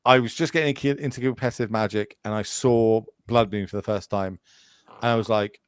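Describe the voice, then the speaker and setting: A man in his late thirties talks at length as a podcast guest, wandering from point to point with plenty of fillers and restarts. His voice is smooth and resonant, and the sound is dry and close. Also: on the low side, fairly even intonation, brisk, no room sound. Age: 30s. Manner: rambling, goes off on tangents, many fillers and restarts. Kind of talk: casual chat.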